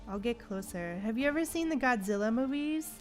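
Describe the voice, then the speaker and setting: Female game streamer, slightly high voice, casual and playful chat over background music. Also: lively intonation, fairly quick. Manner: playful exclamations and casual slang. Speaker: video game livestreamer. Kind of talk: casual livestream gameplay chat. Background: video game music.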